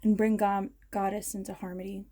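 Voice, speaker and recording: A woman leading a guided meditation in an ASMR style, speaking in a ceremonial, measured and soothing manner. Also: medium pitch, flat delivery, dark tone.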